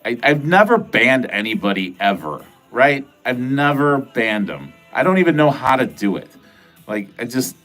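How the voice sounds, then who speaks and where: Rambling middle-aged man, chatty livestreamer. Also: medium pitch. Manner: rambling, filler-heavy, crude jokey talk. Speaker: livestream host. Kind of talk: casual livestream chat answering viewer comments. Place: at home.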